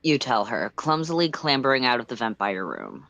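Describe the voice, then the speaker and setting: A storyteller reading second-person fiction aloud on a livestream in an expressive narrating style. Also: mid-range voice, brisk, creaky, dry recording, dull audio.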